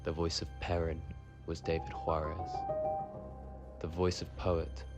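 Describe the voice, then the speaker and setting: Monotone deep male credits announcer. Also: unhurried. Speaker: announcer reading end credits. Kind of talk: scripted credits narration. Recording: music under the voice. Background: music.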